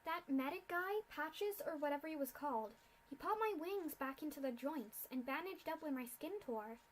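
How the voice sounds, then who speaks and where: A woman's voice: high voice, animated, normal speed, pitched above the performer's own voice, poor audio. A woman voicing a young, injured male pegasus foal who talks matter-of-factly in a storybook reading. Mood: resigned.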